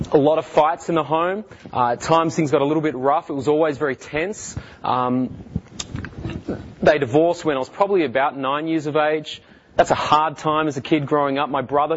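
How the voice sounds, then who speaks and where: An Australian man in his thirties, a guest speaker telling his life story in earnest, halting speech full of fillers and restarts. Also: on the high side, muffled recording. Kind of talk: personal testimony talk.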